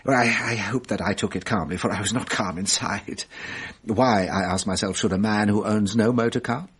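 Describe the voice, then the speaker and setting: An actor playing a shrewd, self-assured French detective in a radio drama, musing deliberately with wry amusement. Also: male voice, fairly low pitch, slightly rough. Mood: musing, animated.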